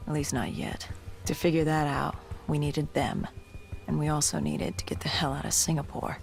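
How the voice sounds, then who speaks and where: An actor playing a grim, battle-worn soldier in a video game, recounting an apocalypse in a grave, halting, deliberate way. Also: mid-range voice, very animated, gravelly, faint music behind. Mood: resolute. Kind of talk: dramatic cinematic narration.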